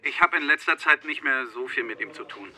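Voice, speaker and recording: An actor playing an evasive holidaymaker answering police questions, guarded and hesitant, in a naturalistic radio drama. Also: male voice, medium pitch, fairly quick, bright, forceful tone.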